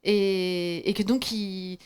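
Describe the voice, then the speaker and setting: A woman in the audience adding a quick, hedging comment, thinking aloud as she goes. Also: mid-range voice, steady tone, a little mellow. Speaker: audience member.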